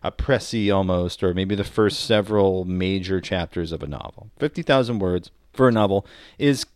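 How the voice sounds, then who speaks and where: A man's voice: low voice, moderate pace, dry recording, clean studio sound. A man co-hosting a podcast in casual comedic talk, explaining things in a wry, opinionated way. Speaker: podcast co-host.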